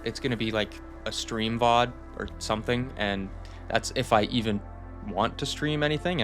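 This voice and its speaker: Low gravelly man giving a rambling update. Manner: rambling, self-deprecating, reassuring. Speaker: channel host. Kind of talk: casual channel update monologue.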